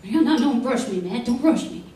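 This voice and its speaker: A man's voice: very high voice, expressive, normal speed, mellow. A man playing a crusty, impatient American frontier doctor in a radio western, querulous and given to repeating himself. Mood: grumbling, testy. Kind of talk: old-time radio western acting. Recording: echoey, distant old radio recording, faint noise.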